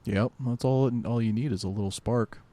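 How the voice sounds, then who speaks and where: A man co-hosting a podcast, chatting casually and mostly giving short, relaxed replies. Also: medium pitch, moderate pace, no room sound. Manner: laid-back, brief agreeing replies. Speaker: podcast co-host. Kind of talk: casual chat.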